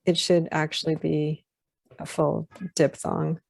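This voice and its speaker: A woman with a West Coast US accent teaching phonetics on a video call, gently correcting details and encouraging students. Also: low voice, level tone, slow pace, creaky. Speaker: phonetics instructor. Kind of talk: online class discussion.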